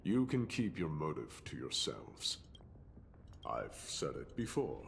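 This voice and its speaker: A man's voice: very deep voice, big pitch swings, takes it slowly, vocal fry, a bit bright, slight background noise, heavily acted. A man voicing a ruthless, haughty warrior lord in a dramatic video game, speaking in formal archaic phrases with contempt. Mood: scornful, grim. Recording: long reverb, game music behind.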